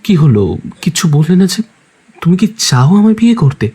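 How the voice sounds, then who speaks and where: A man's voice: high-pitched, very animated, normal speed, warm tone, slightly rough, heavily acted. An actor playing a cheerful young man who is teasing yet shy, in an audio drama. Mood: earnest, tentative. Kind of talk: Bengali audio story acting.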